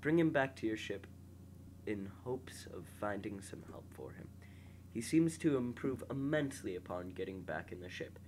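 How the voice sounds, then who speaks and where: Stuffy-nosed young guy mumbling through a space game, low and slow, noisy home mic. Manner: relaxed, mumbles quietly to himself while playing. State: sick and congested, slightly nasal. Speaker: gameplay host. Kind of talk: casual gameplay commentary, reading game text aloud.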